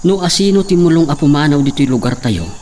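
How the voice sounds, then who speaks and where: A man's voice: on the high side, lively intonation, quick pace, mellow, close to an everyday voice. A man with an Ilocano accent playing an earnest young villager set on leaving for the city. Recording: distant mic with faint noise. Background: crickets and insects.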